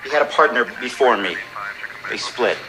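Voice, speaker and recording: A man's voice: mid-range voice, expressive, normal speed, over music, close to an everyday voice, rough recording. A man playing a candid, hard-drinking producer in his forties in an audio drama, speaking in a blunt, offhand way. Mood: candid, subdued.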